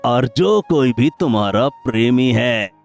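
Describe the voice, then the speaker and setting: A man's voice: medium pitch, animated, takes it slowly, vocal fry, faint music behind. A man voicing a rich, proud and vengeful older landlord with dramatic, self-important delivery in a cartoon story. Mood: wooing, persuasive. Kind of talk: Hindi cartoon moral-story acting.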